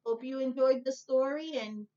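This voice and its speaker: A woman's voice: high-pitched, moderate pace, mellow. A woman teacher reading a storybook aloud to young children, expressively, with emphatic, careful enunciation. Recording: dull lo-fi sound.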